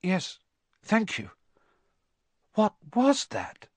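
Young boy, played by a male reader: storybook hero, higher pitch, curious, earnest, a little bewildered. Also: wildly swooping pitch, very slow, a little mellow, no echo, exaggerated voice. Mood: shaken. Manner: questioning, higher-voiced, earnest. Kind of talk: dramatized audiobook character voice.

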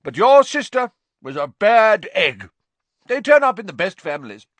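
Shrill, overbearing tipsy aunt. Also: male voice, very animated, normal speed, fairly bright tone, no room sound. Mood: smug, sneering. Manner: pompous, sneering, emphatic. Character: loud, overbearing, tipsy, spiteful middle-aged aunt. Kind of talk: audiobook character voice.

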